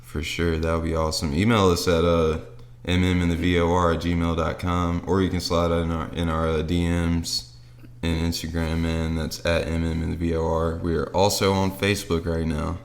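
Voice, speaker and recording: Deep-voiced laid-back young man. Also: monotone, normal speed. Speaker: podcast co-host. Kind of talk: casual chat.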